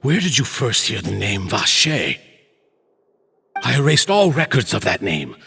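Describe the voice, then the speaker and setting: A man playing an anxious, desperate prisoner in a video game, pleading in a halting, urgent way. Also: fairly low pitch, big pitch swings, vocal fry, bright, forceful tone, breathy edge, long reverb tail, exaggerated voice. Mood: shaken, pleading.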